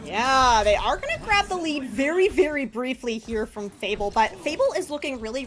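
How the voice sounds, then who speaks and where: A woman's voice: sits high, slight background noise. A woman giving analytical live esports commentary in a conversational, explanatory way.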